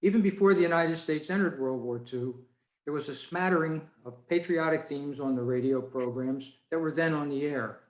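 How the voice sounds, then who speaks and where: A man's voice: fairly high pitch, normal speed. Echoey-sounding man giving a club talk. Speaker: club presenter. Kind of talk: prepared talk read to a club meeting. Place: indoors, on a video call. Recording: roomy, echoey video call audio.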